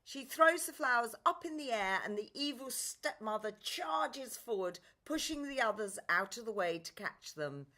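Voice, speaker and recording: A woman's voice: on the high side, expressive, normal speed, few pauses, sharp tone. A woman reading scripted narration and stage directions for a pantomime radio play.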